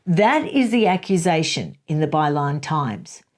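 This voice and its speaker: Solo commentary host, woman, lower-pitched voice, forceful and rebutting. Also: animated, unhurried. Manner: emphatic, rebutting, reads quotes aloud.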